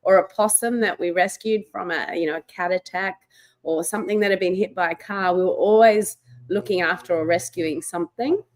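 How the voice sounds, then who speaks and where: A woman with a fairly low voice, recalling her past in an easygoing, chatty way with small hesitations. She is a guest on an interview show. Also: a little fast. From Australia. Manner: chatty, warm recollection with hesitations. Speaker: guest, wildlife TV presenter. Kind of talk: interview storytelling.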